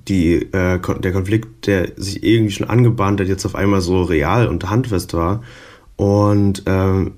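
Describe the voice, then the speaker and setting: A man's voice: low voice, darkish tone. A man co-hosting a podcast, reading the intro and then chatting hesitantly with many fillers. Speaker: podcast co-host.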